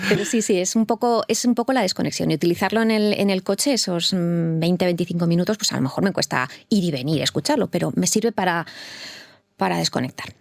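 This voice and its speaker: A woman's voice: lowish voice, fast, gravelly, dry recording, studio quality. A middle-aged woman from Madrid, a fertility nurse giving thoughtful interview answers and often repeating words as she searches for them. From Madrid, Spain.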